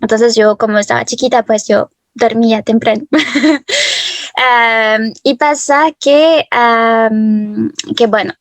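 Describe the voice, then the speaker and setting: A woman in her twenties from France, telling her personal story as an interview guest, hesitant, with many fillers and soft laughs, over a video call. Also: fairly high pitch, slow, no room sound. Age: 20s. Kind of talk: personal testimony.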